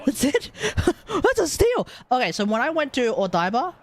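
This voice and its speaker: A man chatting casually and excitably on a gaming livestream, rambling and correcting himself as he goes. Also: very high-pitched, measured pace. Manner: animated, rambling, exclamatory, self-correcting. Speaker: live streamer.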